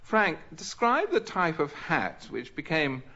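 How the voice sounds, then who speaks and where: A man's voice: a little high, big pitch swings, slow pace, sharp tone. A middle-aged British man chairing a radio panel game, chatting and bantering with the contestants. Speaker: quiz show chairman. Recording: dull old radio recording.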